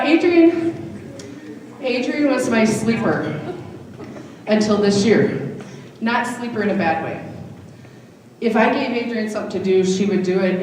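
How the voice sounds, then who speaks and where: A woman's voice: mid-range voice, darkish tone. Woman speaking in a very echoey hall. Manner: informal, affectionate. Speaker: high school drama director. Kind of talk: informal thank-you speech to an audience. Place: large indoor hall at a live event. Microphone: distant event microphone. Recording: very echoey hall from far off, faint noise.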